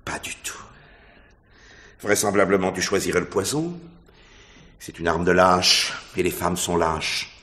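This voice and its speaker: An actor playing a cynical, taunting husband in a theatrical, sardonic radio mystery style. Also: male voice, deep voice, fairly even intonation, unhurried, long pauses, pushed and bright. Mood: sardonic, provocative. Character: cynical, morbid husband, a stage actor baiting his wife. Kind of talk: 1950s-style French radio mystery acting.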